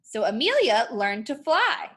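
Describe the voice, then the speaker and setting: A woman with a fairly high, very bright voice reads a children's book aloud. Her intonation is highly animated and expressive, shaped like a teacher guiding young students through each page. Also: a little slow. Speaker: art teacher.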